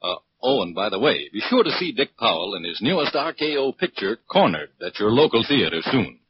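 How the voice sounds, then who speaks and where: A man's voice: mid-range voice, very animated, fairly quick, slight background noise. A man working as a radio announcer in an emphatic 1940s advertising style. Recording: dull 1940s radio recording. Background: music.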